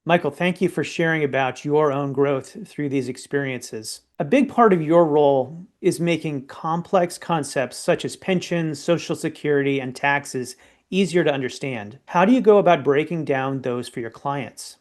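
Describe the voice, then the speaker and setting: A man interviewing a guest, asking prepared questions in a polite, measured way. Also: on the high side.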